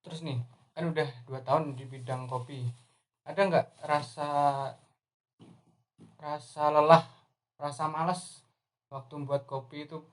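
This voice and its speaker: A man's voice: fairly high pitch, steady tone, unhurried, long pauses. A young man from Indonesia hosting a casual podcast interview, asking friendly questions with frequent backchannels. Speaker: podcast host.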